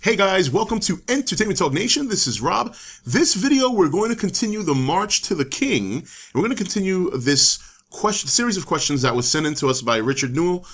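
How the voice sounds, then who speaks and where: A man hosting his own channel, talking casually to camera, a little hoarse from the flu, rambling with many fillers and restarts. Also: high voice, big pitch swings, fairly quick, a bit bright. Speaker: channel host. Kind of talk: casual opinion talk to camera.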